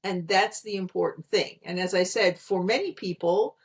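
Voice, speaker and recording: A woman's voice: medium pitch, moderate pace. A middle-aged woman from Pennsylvania with an American accent, a guest explaining her work in an articulate, friendly way over a video call. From Pennsylvania, USA. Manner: articulate, explanatory, light laughs. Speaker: guest, communication consultant. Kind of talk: conversational interview answer.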